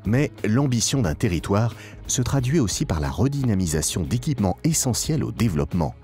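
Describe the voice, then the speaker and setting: Deep-voiced male narrator, lively intonation. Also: brisk. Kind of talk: scripted promotional documentary narration. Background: background music.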